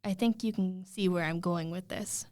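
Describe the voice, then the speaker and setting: A woman retelling a fairy tale as a storyteller, in a dramatic, expressive way with suspenseful pauses. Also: on the low side, dry recording, studio quality.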